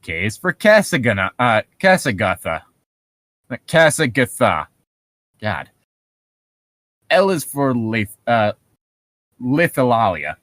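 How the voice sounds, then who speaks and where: Slow, rambling man with swooping pitch. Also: very animated, fairly bright tone, dry recording. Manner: rambling, profane. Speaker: podcast co-host. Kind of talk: casual chat, riffing commentary on horror stories.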